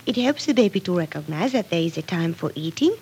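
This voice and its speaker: A gentle young wife and mother in a vintage radio drama. She speaks carefully and softly with a lively rise and fall. A faint noise sits on the old recording. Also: on the low side, quick pace. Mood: patient. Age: young adult. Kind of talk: 1940s radio soap acting.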